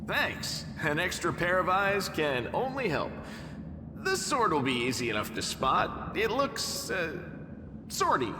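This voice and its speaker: A man's voice: high-pitched, huge pitch swings, a little slow, background noise, exaggerated voice. An actor playing a cocky, eager young tribesman in a video game, flippant and sardonic in manner. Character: wry young male mongrel underground tribesman, cocky and eager. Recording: echoey hall reverb. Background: background music.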